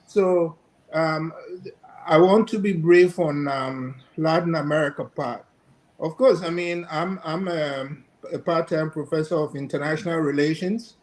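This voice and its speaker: An older man from Ghana, a guest professor giving measured, hesitant commentary. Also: a little high. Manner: measured, hesitant with many fillers.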